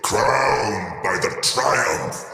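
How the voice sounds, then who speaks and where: A man's voice: lowish voice, lively intonation, extremely slow, sharp tone, exaggerated voice. An actor playing a gigantic, decadent alien emperor in a video game, grandiose and theatrical, savouring his menace. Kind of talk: video game dramatic acting. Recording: cavernous echoing reverb.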